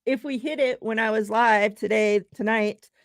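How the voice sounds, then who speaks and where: A woman's voice: fairly high pitch, steady tone, normal speed, no echo. A woman hosting a casual live-stream chat, laughing often and reading out giveaway numbers. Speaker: show host.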